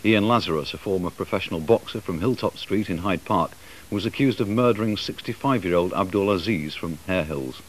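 A man's voice: low voice, fairly quick. A middle-aged man reading a formal news bulletin in a studio. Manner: formal, crisp bulletin delivery. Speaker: news anchor. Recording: old broadcast with faint hiss.

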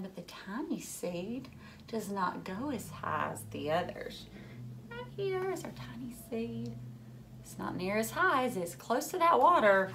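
A woman reads a picture book to young children in an animated storybook style. Her delivery is slow and drawn out, with questions along the way. There is clear noise behind her in the room recording. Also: mid-range voice. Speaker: teacher reading aloud to children. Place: furnished living room at home, indoors.

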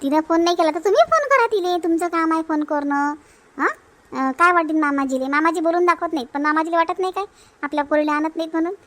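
A woman's voice: extremely high pitch, fast, fairly bright tone. A woman voicing a dutiful, insistent village wife in an amateur cartoon family story, with a Varhadi Marathi accent. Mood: insistent, concerned.

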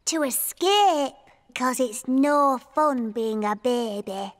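Petulant small British princess, preschool cartoon; very slow, childish, swooping whine. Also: female voice, sits high, lively intonation. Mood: whiny protest. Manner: whiny, drawn-out childish delivery. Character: bossy, petulant little princess, small girl. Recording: loud music behind.

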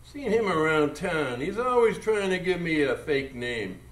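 An actor playing a drunken, brash American steamboat captain who rambles and mocks in a live radio drama. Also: male voice, a little high, big pitch swings, unhurried. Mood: rambling, exasperated. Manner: rambling, mocking, incredulous. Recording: echoey live stage, distant mic.

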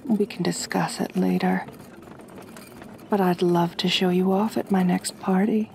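A woman playing a gentle, doting aristocratic vampire mistress in an ASMR roleplay, speaking softly and breathily with genteel, soothing command. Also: fairly low pitch, steady tone, a little slow, gravelly, slight background noise. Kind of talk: ASMR roleplay, intimate acting.

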